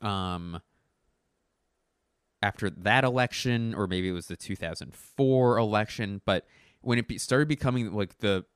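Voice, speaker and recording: A man who does stand-up, rambling casually to camera at home with a hoarse voice, clearing his throat often and swearing freely. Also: on the low side, measured pace, dry recording, clean studio sound. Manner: rambling, self-correcting, profane. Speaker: stand-up comedian. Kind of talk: casual talking-to-camera commentary.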